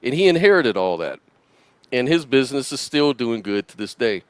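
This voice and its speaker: A man telling a rambling story in a relaxed, casual way on a podcast. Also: medium pitch, moderate pace, no echo.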